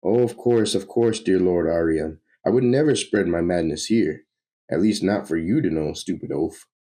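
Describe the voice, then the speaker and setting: A man's voice: deep voice, moderate pace, mellow, natural voice. Man acting out a gloating, manic comic book villain. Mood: mocking. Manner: gloating, theatrical. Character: manic sadistic male villain who feeds on fear. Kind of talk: comic book read-aloud.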